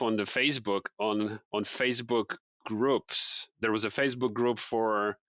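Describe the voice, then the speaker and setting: A man in his thirties from Poland, a guest in a casual interview, relaxed and repeating his words with light laughs. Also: fairly low pitch, smooth, no room sound, highs cut off. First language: Polish.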